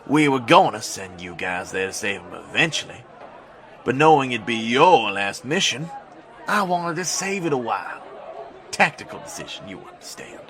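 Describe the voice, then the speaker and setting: Casting: male actor as hard-bitten army spymaster, forceful edge, expressive pitch, faint crowd behind. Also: normal speed, a little hiss or hum. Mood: pragmatic, unapologetic. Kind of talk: audio drama acting.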